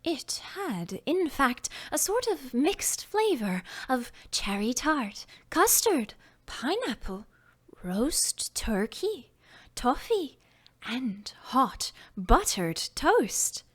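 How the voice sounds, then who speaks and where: A woman reading a children's classic aloud as an audiobook, gentle and expressive, sometimes dropping to a whisper. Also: high voice, extremely slow. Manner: gentle, expressive storytelling, drops to whispers at times. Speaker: audiobook narrator. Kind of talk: audiobook narration.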